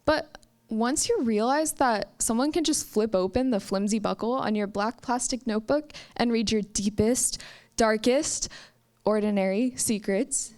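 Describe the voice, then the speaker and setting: A young woman from the United States telling a personal story at a live campus story slam, with slow, emphatic phrasing. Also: a little high, a little mellow. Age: young adult. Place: stage at a campus story event.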